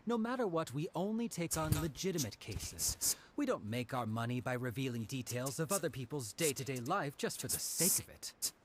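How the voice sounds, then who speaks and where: Brief: man playing a friendly, eager detective, animated and chatty. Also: mid-range voice, moderate pace, a little hiss or hum, lightly acted. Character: friendly chatty male detective agency worker. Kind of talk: video game dialogue.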